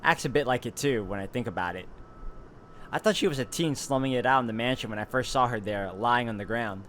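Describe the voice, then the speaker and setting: A man playing a visual novel, reading its narration aloud in a steady, even way with relaxed asides. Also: mid-range voice, fairly quick, a little hiss or hum. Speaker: gaming YouTuber. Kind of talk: reading game text aloud with commentary.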